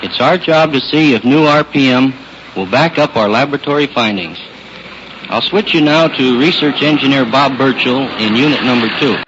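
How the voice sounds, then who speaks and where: A man's voice: mid-range voice, moderate pace. A man giving a matter-of-fact, scripted radio test report as a research supervisor in a moving car. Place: inside a car in city traffic. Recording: muffled old radio, noisy car. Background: vehicle noise.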